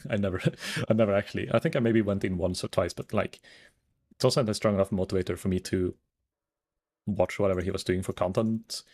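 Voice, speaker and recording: A calm, soft-spoken man chatting casually while streaming a game, rambling and thinking aloud with many fillers. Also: sits low, brisk, pauses often, breathy edge. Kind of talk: casual gaming stream chat.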